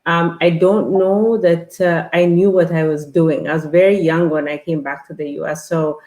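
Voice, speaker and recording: Low-voiced woman in her fifties, echoey room. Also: steady tone, a little mellow. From Ethiopia. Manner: halting, many fillers and restarts. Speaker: guest. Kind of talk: casual interview talk.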